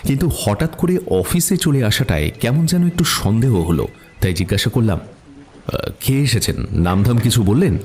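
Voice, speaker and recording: A man's voice: mid-range voice, expressive, moderate pace, darkish tone, soft background music, close to an everyday voice. An actor playing a composed office worker narrating his detective story in first person.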